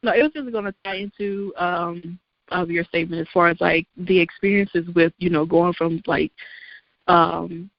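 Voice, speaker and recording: A woman's voice: on the low side, steady tone, moderate pace, dry recording. Rambling woman interviewer, lo-fi sound. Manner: rambling questions with many fillers. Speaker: interviewer host. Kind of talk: casual interview questions. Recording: dull lo-fi, dry.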